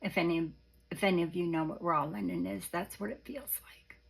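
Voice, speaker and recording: A hoarse woman showing off craft supplies in a casual show-and-tell, gushing softly and often dropping to a whisper. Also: lowish voice, steady tone, a little fast. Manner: soft-spoken, gushing, often drops to a whisper. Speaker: craft vlogger.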